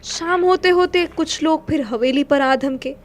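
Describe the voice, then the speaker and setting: High-voiced woman narrating a story. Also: measured pace. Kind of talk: dramatic literary story narration. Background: faint music.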